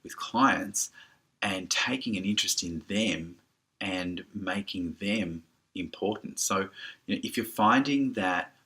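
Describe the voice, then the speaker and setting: Deep-voiced man coaching in a bright, forceful tone. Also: slow pace, poor audio. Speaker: photography business educator and coach. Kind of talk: informal coaching talk answering a question.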